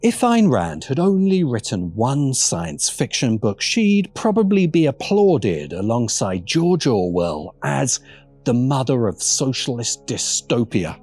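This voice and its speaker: A man's voice: medium pitch, big pitch swings, slow pace, darkish tone. A man narrating a scripted video essay with wry, dramatic emphasis. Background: background music.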